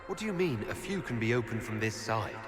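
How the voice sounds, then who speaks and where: An earnest, expressive elven leader. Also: male voice, on the low side, fairly quick, over music. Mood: curious. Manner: inquisitive, earnest. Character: earnest, questioning male elf leader of a fantasy army. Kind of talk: dramatic fantasy game dialogue.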